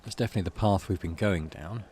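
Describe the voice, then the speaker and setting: A man's voice: sits low, fast, mellow, a little hiss or hum. A man doing casual game commentary, thinking aloud in a hesitant, rambling way. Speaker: video game let's player.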